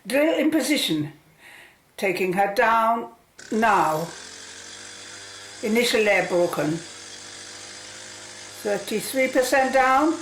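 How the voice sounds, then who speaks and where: High, lively woman scientist. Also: slow pace, pauses often, reverberant, a little hiss or hum. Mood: upbeat, focused. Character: excited, upbeat scientist drilling in Antarctica. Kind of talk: radio play acting.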